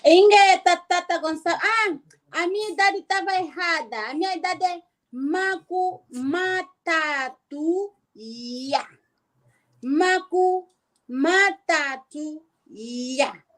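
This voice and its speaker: A woman's voice: very high-pitched, extremely slow, a bit bright. A woman student in an informal lesson, answering hesitantly and thinking aloud.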